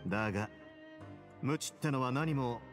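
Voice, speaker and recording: A man voicing a composed, wry duke in a Japanese game, speaking in a cool, unhurried manner. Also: medium pitch, lightly acted. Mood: wry, assured. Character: composed, wry duke running an underwater prison. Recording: game audio under loud music.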